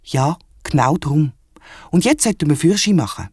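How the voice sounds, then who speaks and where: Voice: mid-range voice, lively intonation, moderate pace, a little mellow, lightly acted. A storyteller voicing a small, exasperated know-it-all kangaroo, chiding and emphatic, with a Swiss German accent in a children's audio story. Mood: brisk.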